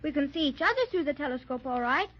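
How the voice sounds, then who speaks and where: A woman's voice: high-pitched, lively intonation, fast talker. A woman playing a sweet, impatient little girl in a 1930s children's radio drama, pleading and marveling like a child. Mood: eager, wondering. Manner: breathless childlike pleading and wonder. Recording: dull 1930s radio transcription.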